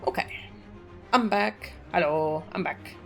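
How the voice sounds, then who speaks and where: A woman's voice: lowish voice, slow pace, creaky, soft background music. A woman chatting casually to viewers as she streams a game, in an easygoing, chatty manner.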